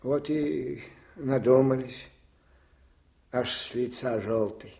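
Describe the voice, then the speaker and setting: A man's voice: mid-range voice, slow, gravelly, dark tone. An actor playing a plain-spoken, devoted male orderly who chides his old master in a folksy, familiar way, in a radio drama. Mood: grumbling, chiding. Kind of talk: Soviet radio drama acting.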